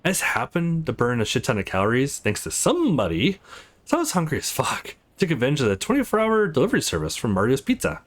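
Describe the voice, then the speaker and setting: A man voicing a sharp-tongued, teasing young woman in a visual novel, sarcastic and foul-mouthed but secretly affectionate. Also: mid-range voice, huge pitch swings, fast. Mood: brash, casual.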